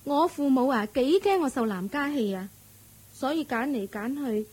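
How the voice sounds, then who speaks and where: A woman playing a touchy, pampered young wife and new mother, with a drawn-out delivery, in a radio drama. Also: sits high, expressive, a little mellow, breathy edge. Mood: petulant. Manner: petulant, drawn-out complaining. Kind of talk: Cantonese radio drama acting.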